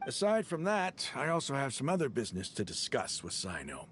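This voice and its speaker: A man playing an earnest, hopeful desert fighter in a video game, with an expressive, heartfelt delivery. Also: medium pitch, a little fast, a little hiss or hum. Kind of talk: video game character acting.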